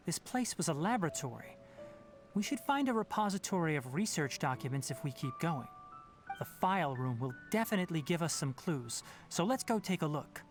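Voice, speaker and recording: A woman voicing a calm, analytical young male scholar in a video game, measured and precise as she explains things. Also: fairly low pitch. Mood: thoughtful.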